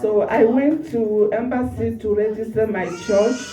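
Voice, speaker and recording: A man interpreting a sermon into English live, halting, with many restarts and fillers. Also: high-pitched, steady tone, mellow. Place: church hall. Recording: echoey hall from far off.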